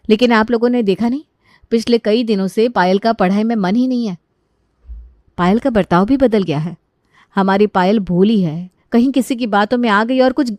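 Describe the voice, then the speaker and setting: A woman's voice: mid-range voice, darkish tone, dry recording, studio quality, pitched below the performer's own voice, lightly acted. A woman voicing a worried, respectful young daughter-in-law who reasons politely and defends herself in a family drama.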